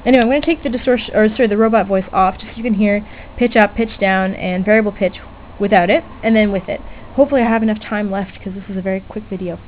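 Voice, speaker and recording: A woman's voice: mid-range voice, fast talker. A woman giving a casual, unscripted hobby demonstration, chatty and hesitant, often correcting herself as she goes. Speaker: DIY electronics hobbyist presenter. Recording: tinny lo-fi webcam-era audio, background noise.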